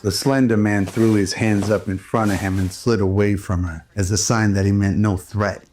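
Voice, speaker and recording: A man reads scripted radio drama narration. His voice is very deep and stays flat and even from phrase to phrase. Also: normal speed, soft background music.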